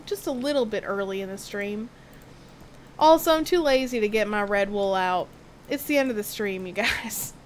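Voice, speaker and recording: A woman's voice: mid-range voice, normal speed, a little hiss or hum, rough recording. A young woman streaming a game, talking in a put-on Southern American drawl, playful and loose, drawing out her words and swearing casually. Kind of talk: casual gameplay livestream chat.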